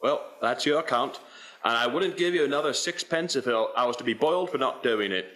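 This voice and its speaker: A man's voice: mid-range voice, lively intonation, brisk, a bit bright, lightly acted. A man playing a shrewd, haggling Victorian pawnbroker in a radio play, wheedling and theatrical. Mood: sly haggling. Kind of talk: stage radio play acting.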